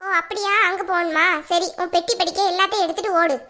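A woman's voice: very high-pitched, fairly even intonation, fast talker, sharp tone. A woman playing an exasperated young mother in a family drama, talking in a blunt, colloquial, scolding way. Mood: irritated, scolding. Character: exasperated young mother, scolding and threatening her little daughter.

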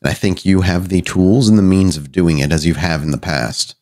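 A man voicing a composed, powerful vampire prince in a tabletop game, measured and formal with a subtle playfulness. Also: sits low, a little mellow, natural voice. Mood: grave, businesslike.

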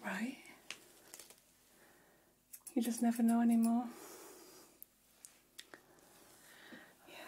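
A woman plays a friendly female vampire hairdresser in an ASMR salon roleplay. The voice is a very breathy, soft whisper with a mellow tone. It moves slowly and attentively, like intimate salon gossip. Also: fairly high pitch. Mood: gossipy. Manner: soft, unhurried, chatty, attentive. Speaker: ASMR performer. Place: small decorated indoor room. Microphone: close microphone.

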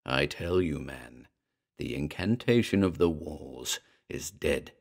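A man with a very low voice reading the lines of a sharp, austere demon invoker who is really a boy, in a storybook. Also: animated, takes it slowly, creaky, heavily acted. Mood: imperious.

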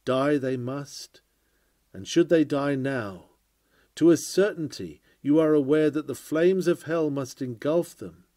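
A man's voice: a little high, measured pace, mellow, dry recording. A man reading an old-fashioned sermon aloud in an earnest, oratorical, exhorting manner. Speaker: sermon reader. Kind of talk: dramatic reading of a 19th-century sermon.

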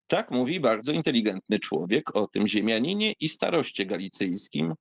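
A man giving an expressive, theatrical reading of a literary essay, with wry asides and sighs. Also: medium pitch, vocal fry, no echo. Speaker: audiobook reader.

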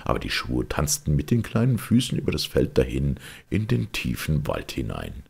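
A man narrating a fairy tale as an audiobook in a measured, solemn, expressive way. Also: very deep voice, vocal fry, breathy edge.

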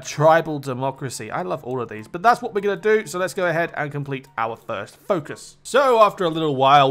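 A man's voice: on the high side, a bit bright. A young British man giving casual, jokey commentary over a strategy game, laughing at his own slips. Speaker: gaming YouTuber.

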